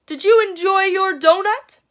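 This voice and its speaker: A woman's voice: extremely high pitch, unhurried, a bit bright, pitched above the performer's own voice. A woman voicing friendly, nosy townspeople in a storybook, with cheery, knowing greetings.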